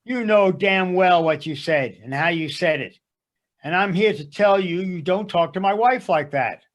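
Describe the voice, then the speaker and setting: Guy acting high voiced bossy husband, indignant n blustery, standing up for his wife, stage sketch style. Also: normal speed, natural voice. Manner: blustering, confrontational. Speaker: amateur stage actor. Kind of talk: readers theater sketch acting. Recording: live amateur readers theater performance.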